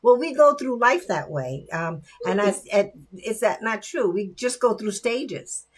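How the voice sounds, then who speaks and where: A woman's voice: mid-range voice, expressive, measured pace, husky. Chatty woman hosting a talk show. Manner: chatty and encouraging, addresses the audience directly. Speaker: podcast host. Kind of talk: casual chat show hosting.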